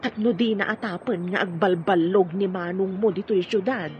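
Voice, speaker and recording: A woman's voice: medium pitch, steady tone, moderate pace, close to an everyday voice. A middle-aged woman with an Ilocano Filipino accent acting a proud, humble mother in an amateur audio drama. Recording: dull lo-fi audio with music.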